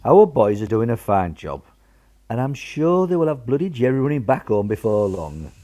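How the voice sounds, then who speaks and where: A man acts a gruff old grandad in a wartime radio play, with a very animated delivery. He is blunt and folksy, a veteran who steadies his family. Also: medium pitch, moderate pace, close to an everyday voice. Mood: reassuring. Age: older. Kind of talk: amateur radio drama acting.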